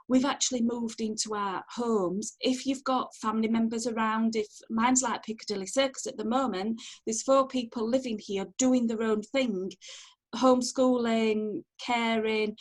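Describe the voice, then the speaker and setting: A middle-aged woman with a Sheffield accent giving an informal online training talk from home, chatty, animated and direct. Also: fairly high pitch. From Sheffield, England. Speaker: empowerment coach giving online networking training. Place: at home on a video call. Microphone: video call, wearing headphones.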